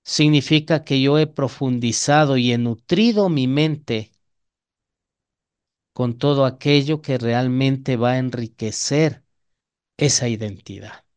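A man's voice: mid-range voice, takes it slowly, clear, resonant tone. A man with an Ecuadorian Spanish accent preaching an evangelical sermon in a didactic way, often putting questions to his listeners.

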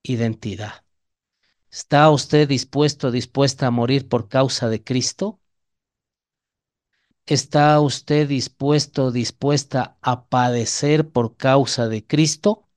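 Clear-voiced Ecuadorian man preaching. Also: mid-range voice, steady tone, takes it slowly, a little mellow. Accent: Ecuadorian Spanish. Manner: didactic, rhetorical questions to the listeners. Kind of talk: evangelical sermon.

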